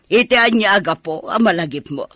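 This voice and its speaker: Snooty older ilocano mom, radio drama, scornful, bright cutting voice, dry room. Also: female voice, medium pitch, lively intonation, brisk, no room sound. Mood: questioning. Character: haughty older well-off mother, scornful and doubting.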